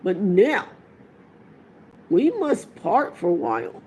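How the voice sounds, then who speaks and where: A woman voicing an ancient male tree creature in a storybook, ponderous and hesitant, humming as he deliberates. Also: mid-range voice, wildly swooping pitch, darkish tone, a little hiss or hum. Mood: musing, surprised.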